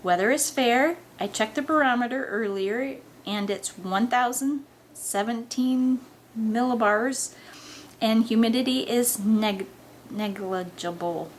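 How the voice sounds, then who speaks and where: A woman voicing a bossy, excitable, nerdy boy scientist in a story reading. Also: on the high side, slow, slight background noise. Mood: bossy, precise.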